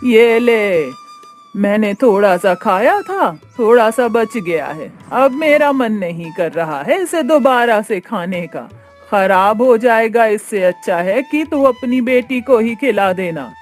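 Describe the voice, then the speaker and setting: A woman's voice: on the high side, expressive, slow, soft background music. Woman playing a brusque old village auntie. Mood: offhand. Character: grumbling but kind old village auntie.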